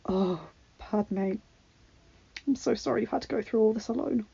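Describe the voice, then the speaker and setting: A woman's voice: a little high, moderate pace, creaky, mellow, breathy edge, muffled recording, heavily acted. Woman reading as an earnest, protective young husband. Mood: consoling.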